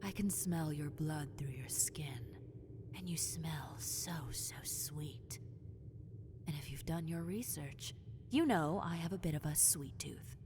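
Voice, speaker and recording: A woman voicing a possessive, teasing lamia, a half-snake predator, in a roleplay audio drama, with condescending, seductive taunts that slip into breathy whispers. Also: sits low, slow, darkish tone. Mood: predatory, teasing. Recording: long cave-like reverb, faint noise.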